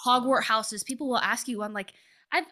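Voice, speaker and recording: A woman's voice: on the high side, normal speed, bright, forceful tone. A woman co-hosting a podcast, speaking bluntly and outspokenly in casual chat.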